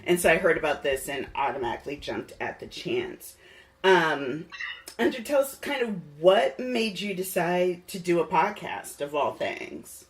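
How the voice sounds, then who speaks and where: A woman's voice: fairly low pitch, very animated, measured pace, rough recording. A woman hosting a podcast and asking questions in a conversational interview style.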